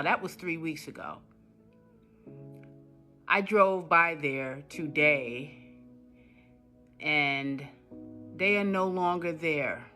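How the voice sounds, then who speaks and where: A woman's voice: deep voice, bright, forceful tone. A woman telling her complaint in a casual monologue, indignant and repetitive, hammering her points. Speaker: customer telling her story.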